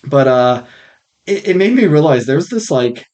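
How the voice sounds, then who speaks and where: A man hosting a podcast, chatting casually in an informal, frank and joking way, on slightly rough mic audio. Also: fairly high pitch, sing-song, a little slow, a little mellow. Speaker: podcast host.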